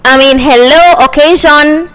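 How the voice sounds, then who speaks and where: Young woman co-host, slightly high voice, animated recapper, giggles often. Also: measured pace, rough recording. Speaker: podcast co-host. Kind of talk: casual chat.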